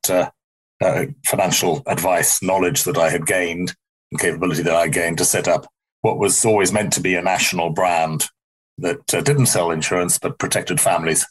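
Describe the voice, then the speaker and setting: A middle-aged man from South Africa with a softened South African-English accent, answering in an interview in a rambling, wry way that corrects itself. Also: low voice, breathy edge. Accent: South African softened toward English. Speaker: interview guest, company founder.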